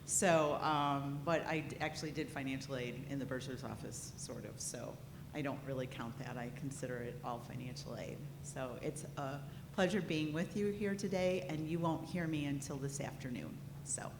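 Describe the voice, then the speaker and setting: A woman's voice: rumbling low, normal speed. A woman in her fifties with a strong Midwestern accent, introducing herself chattily as a financial aid trainer. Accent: Midwestern American. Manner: chatty, self-deprecating. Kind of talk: self-introduction at a conference. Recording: echoey conference hall with noise.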